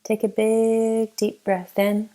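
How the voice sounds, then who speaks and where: A woman leading a guided meditation as a teacher, giving gentle, unhurried instructions with long pauses. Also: fairly high pitch, flat delivery, poor audio.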